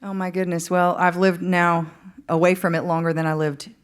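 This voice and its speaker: A woman musician from the Upper Midwest talking frankly with a host in a relaxed interview on a stage. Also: lowish voice, moderate pace, clean tone. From Fargo-Moorhead area, Upper Midwest. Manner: candid, frank, laughs easily. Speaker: musician guest. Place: stage before a live audience.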